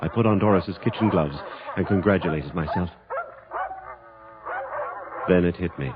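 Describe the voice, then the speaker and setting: An actor playing a scientist husband who narrates his own lab mishap in an old radio drama, with lively storytelling. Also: low-pitched, normal speed, lightly acted. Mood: narrating. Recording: dull lo-fi radio drama recording with hiss.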